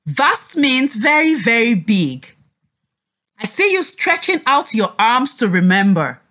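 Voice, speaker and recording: A woman teaching a read-aloud lesson to children in an expressive, encouraging, deliberate way. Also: fairly high pitch.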